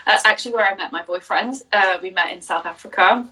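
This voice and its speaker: A young woman from Jersey speaks as a guest in a casual interview. Her voice is bright and clear, and she tells her story openly, with lots of ums. She sits a little away from the mic. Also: mid-range voice, brisk, distant mic, rough recording. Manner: open, chatty storytelling with fillers. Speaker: business coach, guest.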